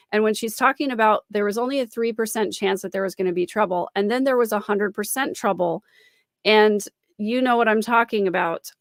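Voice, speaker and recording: A woman's voice: mid-range voice, no room sound, clean studio sound. A woman commentating on a livestream, explaining and repeating phrases for emphasis. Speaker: host and commentator. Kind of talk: live-stream commentary analysing a recording.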